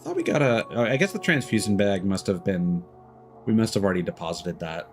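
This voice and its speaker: Guy streamer doing casual game commentary, jokey n irreverent, super animated pitch, bit of music in the back. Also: a little fast. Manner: irreverent, joking asides.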